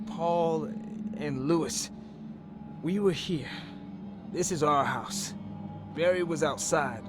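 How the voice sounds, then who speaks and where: An actor playing a dazed, anxious working-class fisherman in a video game, speaking in halting, groggy, clipped phrases. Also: a little high. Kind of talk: dramatic video game voice acting.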